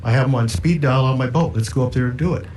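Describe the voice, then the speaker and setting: A man's voice: mid-range voice, quick pace, darkish tone. A middle-aged man giving public testimony as a fisherman, telling a personal account. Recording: hearing room with faint noise.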